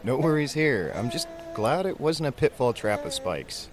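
A man acting as an easygoing, earnest survivor in a zombie audio drama. His mid-pitched voice moves around expressively, and there is some music behind him. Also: sing-song, normal speed, natural voice. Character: Brian, easygoing earnest man caring for a baby.

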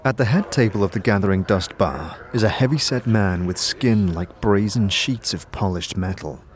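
A man's voice: fairly low pitch, normal speed, soft background music. A man giving scripted narration for a fantasy audio drama. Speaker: narrator and lead player.

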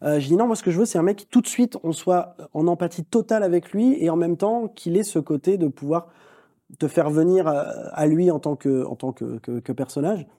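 A man, a film director, talking in a long interview, quick and animated, with many restarts and fillers. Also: a little high. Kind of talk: long-form conversational interview.

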